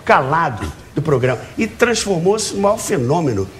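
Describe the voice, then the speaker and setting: A man's voice: fairly high pitch, big pitch swings, moderate pace, a little hiss or hum. A man, eagerly telling anecdotes as an interview guest, repeating words and asking rhetorical questions. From Brazil, Rio de Janeiro. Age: early 70s. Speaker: talk show guest, author of a book on radio theatre. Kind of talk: animated anecdotal storytelling in an interview. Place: TV talk show studio with audience.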